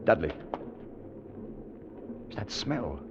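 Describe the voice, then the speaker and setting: Suspenseful time traveller, old radio. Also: male voice, lowish voice, very animated, very slow, noisy background, exaggerated voice. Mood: uneasy, dread. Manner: dramatic, suspenseful storytelling. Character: uneasy, earnest male time traveller telling his story. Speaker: actor narrating and playing a time traveller. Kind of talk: 1950s radio drama acting. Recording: dull vintage radio recording, music behind.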